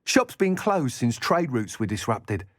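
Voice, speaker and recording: A man's voice: medium pitch, very animated, a little slow, no echo, clean studio sound. A man playing a chatty, eager shopkeeper selling magic brooms in a video game. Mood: apologetic. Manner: salesmanlike, talkative. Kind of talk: video game character dialogue.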